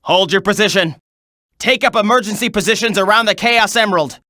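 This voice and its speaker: An actor voicing a military soldier in a video game, giving urgent, clipped combat reports and orders. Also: male voice, sits high, fairly quick, bright, forceful tone, dry recording, studio quality. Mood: urgent, commanding. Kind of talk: video game battle voice clips.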